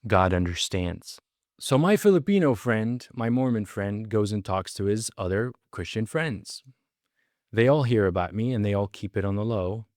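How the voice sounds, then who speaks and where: A man's voice: fairly low pitch, expressive, moderate pace, a little mellow, no echo, clean studio sound. A man giving an earnest personal testimony in a monologue, repeating phrases and drawing out key words for emphasis. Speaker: storyteller recounting his life.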